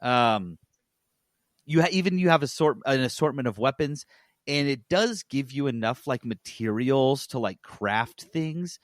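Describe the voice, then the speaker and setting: A man's voice: mid-range voice, expressive, measured pace, dry recording, clean studio sound. A man co-hosting a podcast, giving a casual, rambling review and often correcting himself as he goes. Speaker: podcast co-host reviewing games.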